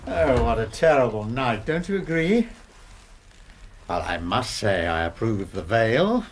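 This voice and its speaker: An actor playing an unctuous, self-satisfied British blackmailer in a radio drama, drawling and over-courteous. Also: male voice, medium pitch, big pitch swings, unhurried. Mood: effusive, oily.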